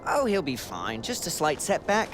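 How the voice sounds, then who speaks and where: An actor playing a cheery, careless British stoner and amateur chemist in a video game, chirpy and blasé. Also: male voice, a little high, huge pitch swings, moderate pace, background noise. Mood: blithe. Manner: chirpy, blasé, mock-formal at times. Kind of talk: video game cutscene acting.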